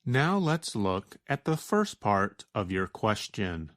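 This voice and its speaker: An American man with a fairly low voice teaches from a script. He speaks very slowly and deliberately, explaining each point in a measured way. The sound is dry, with hardly any room. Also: big pitch swings, no room sound. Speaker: teacher answering a listener's question. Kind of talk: slow scripted educational narration.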